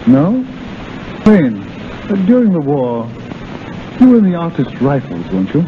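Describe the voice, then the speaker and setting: An actor playing a genial, tactful gentleman doctor in a 1930s radio drama. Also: fairly high pitch, huge pitch swings, measured pace. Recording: tinny, noisy 1930s radio recording.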